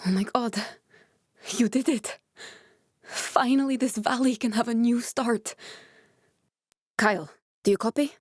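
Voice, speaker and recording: A woman voicing an earnest, guarded young female scientist survivor in a video game, speaking in a measured, serious way. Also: on the high side, dry recording, clean studio sound. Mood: urgent, emotional. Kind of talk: dramatic video game dialogue.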